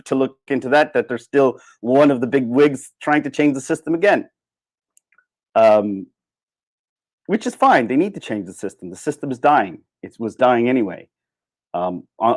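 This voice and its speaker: A man giving a casual, opinionated livestream monologue in an animated, sardonic way. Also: on the low side. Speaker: livestream host.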